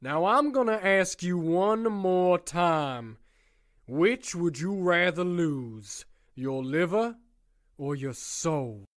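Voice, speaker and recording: Sadistic male villain for audio drama, acted by a man: lighter, higher voice, dry close sound, theatrical mockery, ornate phrasing. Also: animated, slow pace, dry recording. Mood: menacing, probing. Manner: mocking, grandiose, wordy, theatrical. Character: sadistic, taunting male captor playing mind games.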